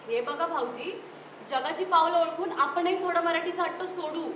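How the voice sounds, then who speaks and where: A woman acts out a young mother in a stage drama, practical and insistent. Her voice is high and bright, projected with emphasis in an echoing hall. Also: moderate pace. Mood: challenging. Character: young mother and sister-in-law arguing practically. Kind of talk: stage play dialogue in Marathi. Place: indoor stage at a youth festival. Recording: roomy hall, distant stage pickup, faint noise.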